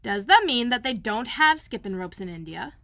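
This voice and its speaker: A woman's voice: a little high, very animated, moderate pace, pushed and bright, no echo, full character voice. A woman reading aloud and voicing a cheerful, chatty young housemaid.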